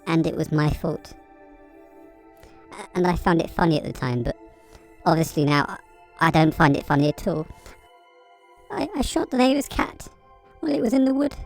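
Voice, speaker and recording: Woman playing a low, creaky, halting confessor. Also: animated, soft background music, poor audio. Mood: guilty, hesitant. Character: uneasy person confessing cruel childhood secrets. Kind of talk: audio drama monologue.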